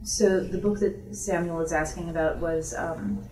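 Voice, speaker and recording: A woman's voice: fairly low pitch, steady tone, a little slow, darkish tone. A woman author answering audience questions at a book event in an informal, explanatory, conversational way. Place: bookstore event room. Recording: roomy echo, distant microphone.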